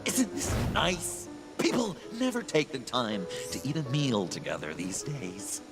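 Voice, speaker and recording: Mock-genial villain, video game, theatrical, leisurely, swooping intonation, music behind. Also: male voice, medium pitch, huge pitch swings, unhurried. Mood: mock hospitality. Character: falsely genial male villain playing dinner host.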